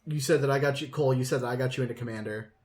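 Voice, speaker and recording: A man's voice: mid-range voice, very fast, darkish tone. Enthusiastic man chatting on a podcast. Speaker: podcast co-host. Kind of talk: casual hobby podcast chat.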